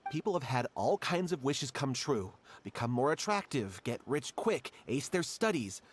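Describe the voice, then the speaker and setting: Man playing an earnest, jumpy, animated struggling writer. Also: mid-range voice, normal speed, slight background noise, lightly acted. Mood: pleading, hopeful. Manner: fumbling, pleading. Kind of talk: animated game dialogue.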